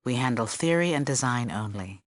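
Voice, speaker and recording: A woman's voice: rumbling low, lively intonation, moderate pace. A middle-aged woman playing a stern, learned scribe in a video game, with a formal, aloof and curt manner. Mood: dismissive. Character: stern, learned female scribe of a post-war order, aloof. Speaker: game character. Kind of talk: video game character dialogue.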